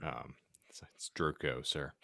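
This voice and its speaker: A man's voice: very deep voice, steady tone, measured pace, creaky, bright, forceful tone, no echo, clean studio sound. A man giving a comic dramatic reading of badly written fiction as a podcast co-host, tripping over words and breaking into laughter. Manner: stumbles over misspelled text, breaks into laughter.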